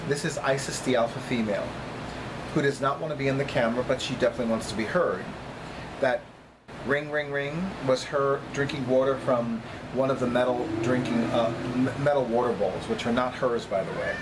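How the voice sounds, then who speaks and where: A middle-aged man talking casually to camera as a vlogger, with deliberate, emphatic phrasing and frequent repetition. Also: mid-range voice, steady tone, noisy background. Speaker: vlogger, psychic reader. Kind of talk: casual chatty monologue to camera. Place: small apartment room by a kitchen, indoors.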